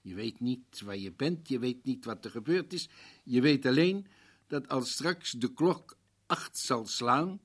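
Husky, probing professor. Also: male voice, medium pitch, expressive, fairly bright tone. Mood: earnest, dramatic. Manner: questioning, deliberate, rhetorical. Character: probing, compassionate male professor, earnest and persistent. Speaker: actor in a radio play. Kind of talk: Dutch radio drama acting.

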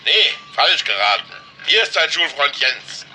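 An actor playing a smug, taunting schemer making a phone call in a satirical radio play. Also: male voice, medium pitch, lively intonation, measured pace, music in the background, natural voice. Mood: gloating.

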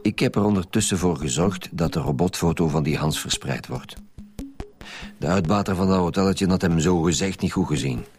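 An actor with a Flemish accent playing an impatient, bossy homicide squad chief who talks emphatically in a radio drama. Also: male voice, deep voice, brisk, a little mellow, close to an everyday voice. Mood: businesslike. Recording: faint background music.

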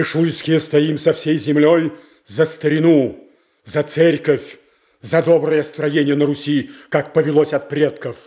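Proud leader of old boyar nobility, solemn and firm, measured pace, historical radio tragedy. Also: male voice, fairly high pitch, darkish tone. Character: proud, resolute old-order prince and warrior leader. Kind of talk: classic Russian stage tragedy acting.

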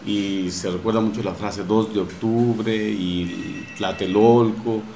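Low-voiced Mexican man in a roomy space. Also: fairly even intonation, slow pace, gravelly, dark tone. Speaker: radio drama producer at a university station. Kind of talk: explanatory interview answers. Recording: roomy echo with faint background noise.

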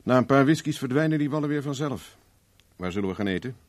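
A man's voice: medium pitch, animated, fast talker, natural voice. A man acting a cynical, flirtatious, hard-drinking private investigator in a 1970s Dutch radio play, wry and firm. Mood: wry, teasing. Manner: wry, teasing, evasive, firm when pressed.

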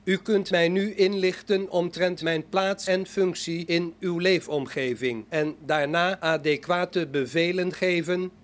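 A polite humanoid robot played by a man in a science fiction radio play. The voice is fairly high for a male and takes its time, with formal, deliberate phrasing and an inquisitive temper. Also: fairly even intonation.

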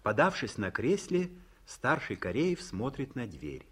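A man reading stage directions as narrator of a radio play, in a measured way. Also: mid-range voice, huge pitch swings, a bit bright, slightly rough. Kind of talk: scripted narration. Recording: 1950s studio radio recording.

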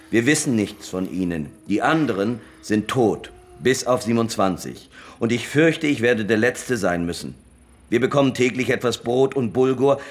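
An actor playing a grave elder who reads out a mournful letter in a solemn, slow way in a radio play. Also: male voice, deep voice, a little hiss or hum, close to an everyday voice. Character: grave older man reading a dying pastor's letter aloud. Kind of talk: German radio play acting.

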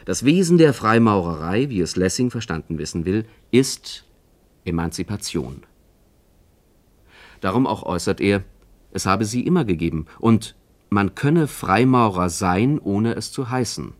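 Low-voiced man reading formal commentary. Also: big pitch swings. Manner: formal, measured. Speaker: narrator, commentator. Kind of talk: scripted literary essay narration.

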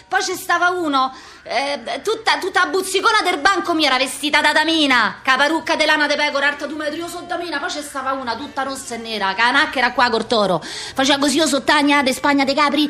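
Brash childlike street girl, Roman accent, very high bright voice, slangy, excitable, foul-mouthed, theatrical monologue. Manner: slangy, repetitive, excitable, acting out many people.

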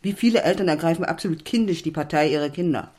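A woman's voice: sits low, fast talker, a little mellow. An actress playing a courteous, well-bred wife and mother keeping up appearances in a naturalistic stage drama, polite and conciliatory. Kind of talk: naturalistic stage-play dialogue acting.

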